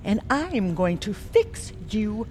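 A haughty, volatile queen, as a woman acts her out, speaks slowly and sweeps her high voice up and down. Her tone turns quickly from scorn to rage. There is steady noise behind her. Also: low-quality recording. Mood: scornful. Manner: haughty, scornful, explosive outbursts. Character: imperious, cruel, volatile female queen.

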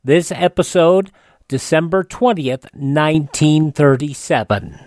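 A man's voice: on the high side, a little slow, a little mellow. A man hosting a classic radio program, reading scripted introductions. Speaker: radio show host.

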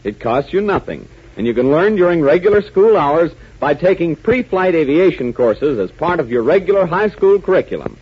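A man's voice: a little high, very animated, normal speed, hardly any pauses, breathy edge. A man with an American accent announcing in dramatic, emphatic 1940s radio style. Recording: muffled, hissy 1940s radio recording.

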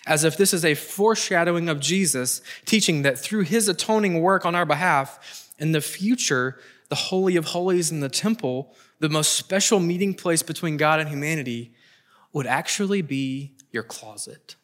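A middle-aged man preaching a prepared sermon, earnest and emphatic, with deliberate pauses and repeated phrases for weight. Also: on the high side, a bit bright.

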